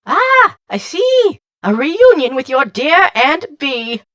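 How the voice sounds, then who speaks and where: Bright, theatrical little robot. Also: female voice, sits high, sing-song, slow, no room sound, clean studio sound, heavily acted. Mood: wicked delight. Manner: sing-song, theatrical, babbling. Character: flighty, gleefully eccentric small hovering robot. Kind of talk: audiobook character acting.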